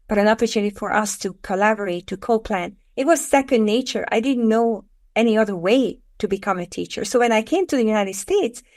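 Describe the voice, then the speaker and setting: A middle-aged woman from Hungary with a Hungarian accent, a guest explaining her work in a podcast interview with earnest, measured phrasing. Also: fairly high pitch, a little mellow. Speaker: guest, education author.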